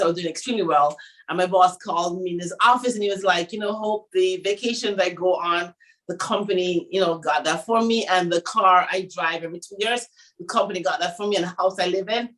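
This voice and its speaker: Woman telling a lively career story. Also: lowish voice, rough recording. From Jamaica. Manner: animated storyteller who acts out quotes. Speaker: fashion designer guest. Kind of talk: storytelling in an interview.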